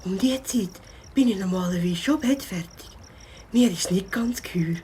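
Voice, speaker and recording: A woman's voice: mid-range voice, moderate pace, mellow, slight background noise, close to an everyday voice. A woman voicing a timid, worried youngster with an anxious, hesitant manner in a children's audio drama. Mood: nervous. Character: timid, worried little friend who wants to go home.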